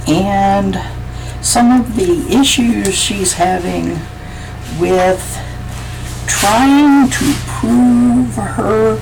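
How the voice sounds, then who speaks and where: A woman author reading a chapter of her own novel aloud in a slow, measured way. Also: medium pitch, vocal fry, poor audio.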